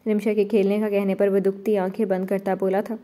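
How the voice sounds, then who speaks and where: A woman narrating a romantic novel in a steady, even audiobook reading. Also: medium pitch, brisk.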